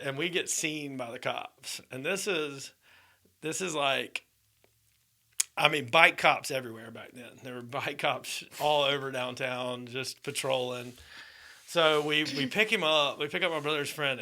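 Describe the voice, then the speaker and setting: A man's voice: medium pitch, sharp tone. A man, telling a story in a rambling way with frequent laughs on a podcast. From Georgia, USA. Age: 30s. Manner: rambling, laughs often, restarts. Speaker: podcast co-host. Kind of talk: casual storytelling.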